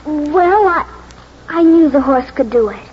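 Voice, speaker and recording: A woman's voice: sits high, lively intonation, unhurried. A woman playing an earnest fourteen-year-old girl rider devoted to her horse, in a 1940s radio drama style. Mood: earnest, shy. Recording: noisy dull 1940s radio recording.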